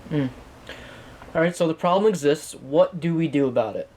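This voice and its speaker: A man's voice: fairly high pitch, moderate pace, a little mellow, rough recording. A man speaking conversationally as an interviewer. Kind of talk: conversational questioning.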